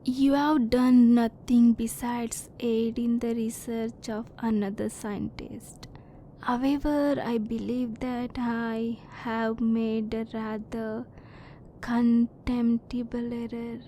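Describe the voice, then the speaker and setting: A softly mellow, fairly high-voiced alien woman, as a woman acts her out in an ASMR roleplay. She is a curious, reserved scientist who speaks slowly, with formal, precise wording. A low rumbling noise sits faintly behind her. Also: flat delivery. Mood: polite, reassuring. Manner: formal, precise, measured, emotionally restrained.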